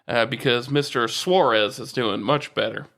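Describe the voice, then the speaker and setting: A man's voice: medium pitch, normal speed, creaky, a bit bright. A man co-hosting a sports podcast in casual, teasing banter, repeating himself for emphasis and swearing now and then.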